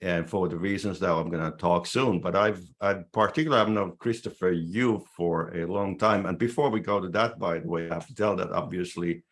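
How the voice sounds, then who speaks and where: A man's voice: deep voice, brisk, hardly any pauses. A man in his sixties with a Finnish accent, a native Finnish speaker hosting a casual podcast and often restarting his phrases. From Finland. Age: 60s. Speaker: podcast host.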